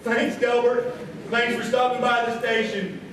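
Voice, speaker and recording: Male live radio show host, folksy, higher-pitched, distant in a strongly reverberant hall. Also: level tone, measured pace. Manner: folksy, addresses the audience as folks. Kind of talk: live variety show hosting, folksy monologue. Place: live show before an audience. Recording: echoey hall, distant mic, faint crowd noise.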